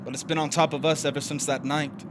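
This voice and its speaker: A man's voice: on the high side, flat delivery, fast talker, natural voice. Man acting a devoted, world-weary lover, noisy recording. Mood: resigned. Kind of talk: old-fashioned melodramatic stage acting. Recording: noisy live online stage recording.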